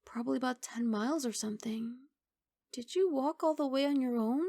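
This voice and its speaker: Woman doing a sweet bashful giant dragon girl for asmr, highish soft voice w a bit of crackle, close and dry. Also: brisk, no room sound. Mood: shy, hopeful. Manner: soft, coaxing, reassuring, slightly bashful. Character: kindly young giant dragon girl, gentle and eager college student. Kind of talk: ASMR roleplay.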